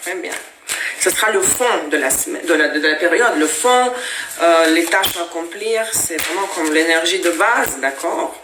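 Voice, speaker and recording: A woman's voice: on the low side, normal speed. Woman reading tarot cards from home, russian is her first language, talks chatty and hesitant, roomy echo, bit of noise. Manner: chatty, explaining, frequent "hein" and hesitations. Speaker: tarot reader. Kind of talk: casual tarot reading to viewers. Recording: echoey room.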